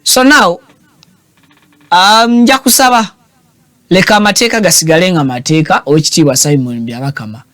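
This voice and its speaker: A Ugandan man with a high, bright-pitched voice delivers teasing, animated political commentary as a show host. He switches languages mid-sentence. The sound is dry and close. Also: moderate pace, pauses often, no room sound.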